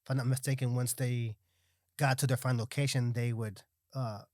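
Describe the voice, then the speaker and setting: A man's voice: medium pitch, level tone, brisk, no echo, studio quality. A man in a casual podcast conversation, talking in a loose, slangy way. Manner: slangy, peppered with dude and bro. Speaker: podcast guest.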